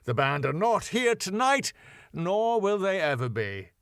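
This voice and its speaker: A man's voice: high-pitched, big pitch swings, unhurried, gravelly, fairly bright tone, smooth, no room sound, clean studio sound, voice pushed up, full character voice. A man voicing a pompous, sarcastic middle-aged male venue owner. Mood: haughty. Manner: fussy, sarcastic, falsely polite.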